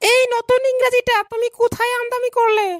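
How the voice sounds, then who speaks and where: Very high-pitched, even-toned cartoon schoolmistress voiced by a woman. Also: fairly quick, no echo, clean studio sound. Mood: polite, instructing. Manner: instructing, addresses pupils in turn. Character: young female schoolteacher, polite but easily outwitted. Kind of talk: cartoon comedy dialogue.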